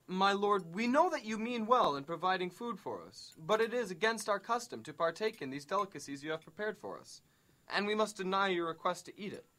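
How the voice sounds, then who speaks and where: A woman's voice: medium pitch, expressive, brisk, a bit bright, close to an everyday voice. A woman playing a respectful, earnest young Hebrew captive, speaking formally and courteously in a dramatized Bible reading.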